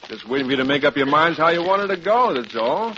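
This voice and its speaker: A blustering, high-pitched radio husband. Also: male voice, sing-song, fast, pushed and bright. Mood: boastful. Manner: bumbling, boastful, defensive. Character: blustering middle-aged male English professor and husband. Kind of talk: vintage radio sitcom acting. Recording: muffled old radio recording, heavy noise.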